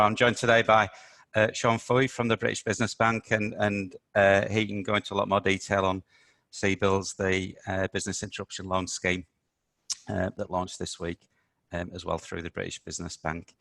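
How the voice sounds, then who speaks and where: A man hosting a business webinar, a little croaky from talking all week, explaining with many ums and uhs. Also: deep voice, little pitch movement, fairly quick, fairly bright tone, no echo. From United Kingdom. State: slightly croaky voice. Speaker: webinar host, accountant.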